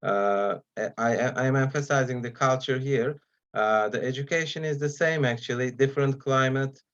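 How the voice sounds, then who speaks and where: A man whose first language is Turkish gives an online talk as guest speaker, pausing often with fillers between phrases. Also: mid-range voice, a bit bright, highs cut off. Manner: hesitant, many pauses and fillers.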